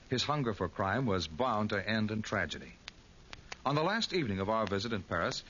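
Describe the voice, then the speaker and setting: An actor playing an earnest, cautious American writer, in dramatic 1940s radio style. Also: male voice, lowish voice, fairly bright tone. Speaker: narrator and character. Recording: muffled, hissy 1940s radio recording.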